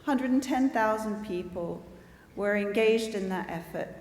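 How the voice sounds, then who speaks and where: A woman on a conference panel giving a formal, earnest speech, measured and with frequent restarts. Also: mid-range voice, a little mellow. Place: large conference hall. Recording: roomy hall reverb.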